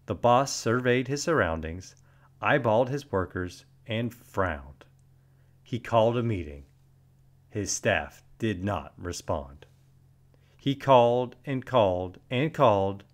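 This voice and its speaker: A man reading a children's storybook aloud as narrator, with deliberate, dramatic emphasis and expressive delivery. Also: fairly low pitch.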